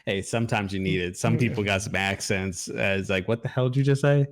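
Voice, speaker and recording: A man co-hosting a movie review, talking casually in a halting, rambling way with swearing. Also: on the low side. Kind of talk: casual film discussion.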